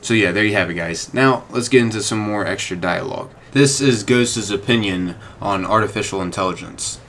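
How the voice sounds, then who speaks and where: A man's voice: fairly low pitch, normal speed, a bit bright, slight background noise. A young man hosting a gaming video, talking to viewers in a casual, chatty, informal way. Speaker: gaming YouTuber, host. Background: light music.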